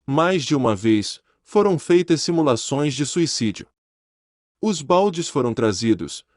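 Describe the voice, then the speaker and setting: A man narrating a documentary in a measured, serious tone. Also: mid-range voice, a little mellow, dry recording, clean studio sound. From Brazil.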